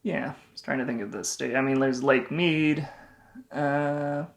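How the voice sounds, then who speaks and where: A young man with a gravelly, creaky voice co-hosts a travel vlog. He chats casually and loosely, filling gaps with "um" and "like". His pitch sits in an ordinary middle range. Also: fairly even intonation, unhurried. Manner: rambling with many fillers. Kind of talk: casual chat.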